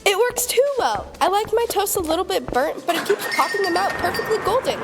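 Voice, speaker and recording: A woman's voice: very high-pitched, very animated, a little fast, background noise, full character voice. A woman playing a fussy, complaining shop customer in an audio comedy. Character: fussy, complaining female toaster-returning customer. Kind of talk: audio comedy drama acting.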